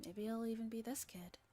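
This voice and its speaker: A woman with a fairly high voice reads a story aloud in a soft ASMR style. Her delivery is gentle and breathy, often slipping toward a whisper, like a streamer narrating a visual novel. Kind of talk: soft-spoken ASMR narration with chat asides.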